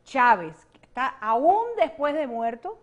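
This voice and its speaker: A woman's voice: sits high, very animated, measured pace, highs cut off. A Venezuelan woman, a political analyst and guest, speaking with passion and emphatic repetition in a studio interview. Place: television studio set.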